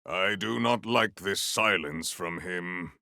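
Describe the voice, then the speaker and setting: Video game warrior, solemn and grandiose, stiffly formal diction, rough creaky voice, very dry close studio sound. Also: male voice, low voice, slow pace, bright, forceful tone, no room sound, exaggerated voice. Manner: formal, stilted, declamatory speech without contractions. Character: solemn, proud warrior hunter with stately grandiose temper. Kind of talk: video game character acting.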